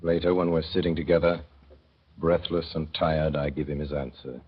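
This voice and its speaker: A gentle father narrating, husky voice, measured and tender, old 1930s radio recording. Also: rumbling low, flat delivery, highs cut off. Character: gentle, thoughtful father telling of his son.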